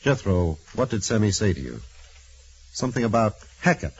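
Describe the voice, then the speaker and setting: A man's voice: fairly low pitch, big pitch swings, moderate pace. A man playing a troubled, self-doubting prison warden who broods and questions in a 1940s radio drama. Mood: troubled, shaken. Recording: muffled hissy old radio recording.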